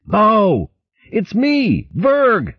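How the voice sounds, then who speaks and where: A man's voice: high voice, huge pitch swings, drawn-out words, slightly rough, no room sound, pitched above the performer's own voice, heavily acted. A man doing an earnest young ship's mouse. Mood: desperate. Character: young male ship's mouse, emotional and brave. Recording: muffled lo-fi recording.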